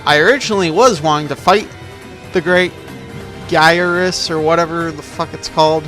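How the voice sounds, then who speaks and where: Dismissive man, higher voice, game commentary. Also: moderate pace, a bit bright, soft background music. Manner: dismissive, profane, rambling. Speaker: gaming video maker.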